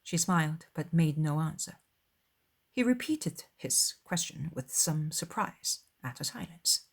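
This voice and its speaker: A woman reading scripted literary narration as an audiobook narrator, in a formal, measured storytelling manner. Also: deep voice, expressive, creaky.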